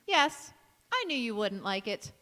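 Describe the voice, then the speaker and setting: Radio play, large hall: actress as bitter, tart woman, high-pitched and cutting. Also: sing-song, unhurried, bright, forceful tone, heavily acted. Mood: tart, disapproving. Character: sour, bitter, disapproving older woman cousin. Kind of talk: radio play acting on stage. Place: large hall, live stage performance. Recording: echoey hall with long reverb.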